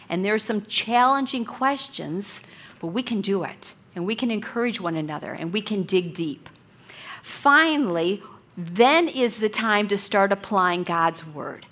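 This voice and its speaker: A woman leading a women's Bible study lesson, talking loudly and in an earnest, encouraging way. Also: mid-range voice. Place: meeting room with a blower.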